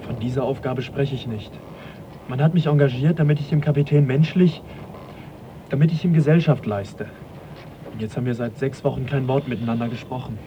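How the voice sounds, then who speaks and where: An actor playing an earnest, courteous young gentleman naturalist in a radio drama, questioning and polite yet persistent. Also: medium pitch, brisk, highs cut off, slight background noise, lightly acted. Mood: troubled, reflective.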